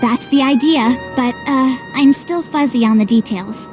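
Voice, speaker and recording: A woman's voice: sits high, normal speed, lightly acted. A woman voicing a blunt, modest young heroine in a video game, casual and dutiful. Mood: unsure. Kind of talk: English video game voice acting. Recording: game music under the voice.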